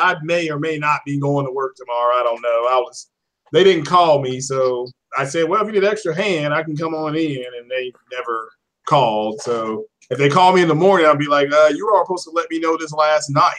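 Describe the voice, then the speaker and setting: A man's voice: a little high, fairly quick, fairly bright tone, low-quality recording. Laid-back man hosting a chat. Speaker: show host. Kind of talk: casual chat.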